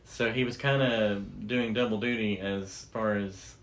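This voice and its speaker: A man's voice: fairly low pitch, steady tone, unhurried, poor audio. A man co-hosting a show, explaining things in a casual, enthusiastic and informal storytelling way. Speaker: podcast co-host.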